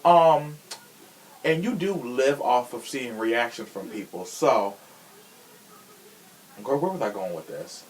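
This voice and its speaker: A man hosts a chatty, informal podcast with plenty of sass. His pitch moves around freely and animatedly, and his delivery is theatrical and conversational. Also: lively intonation, slow, long pauses, slight background noise, rough recording. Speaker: podcast host. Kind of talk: casual chatty podcast talk.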